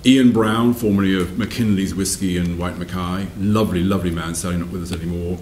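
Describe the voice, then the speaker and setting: A man of about sixty reminiscing in an interview, repeating phrases for emphasis between hesitant pauses. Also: low voice, fairly even intonation, a little mellow, echoey room, slight background noise. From south-west England. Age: around 60. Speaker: interviewee, whisky company managing director. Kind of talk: reflective interview storytelling. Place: wood-panelled room by a fireplace, indoors.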